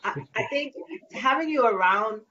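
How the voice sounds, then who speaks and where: A woman's voice: a little high, normal speed. A woman singer, chatting animatedly as an interview guest and acting out little scenes. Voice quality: light voice. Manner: animated, laughing, acts out quotes. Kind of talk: casual interview chat. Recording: lo-fi with faint noise.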